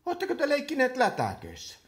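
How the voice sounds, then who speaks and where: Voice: high voice, wildly swooping pitch. An actor in a comic radio play playing an exasperated meeting chairperson who scolds delegates like a hesitant nursery teacher. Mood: flustered, patient.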